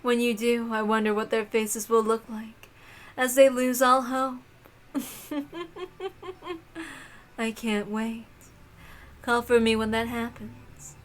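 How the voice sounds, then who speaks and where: A woman's voice: fairly high pitch, flat delivery, slow pace, long pauses. A woman voicing a cruel, mocking aristocratic witch lady with theatrical, taunting delivery in a visual novel read-aloud. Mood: cruelly amused.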